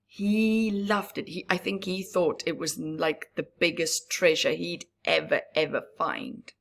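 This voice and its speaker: A woman's voice: fairly low pitch, a bit bright. A woman reading a rhyming picture book aloud at story time, stopping to chat with young listeners and dropping into whispers. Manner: slow rhyming read-aloud with chatty asides to young listeners; drops to breathy whispers. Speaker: storybook reader.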